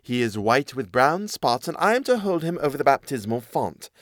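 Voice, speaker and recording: A man's voice: medium pitch, very animated, normal speed, no room sound, clean studio sound, close to an everyday voice. A man voicing a greedy, sly male cat in a fairy tale, with a persuasive, cool and self-assured manner. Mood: coaxing.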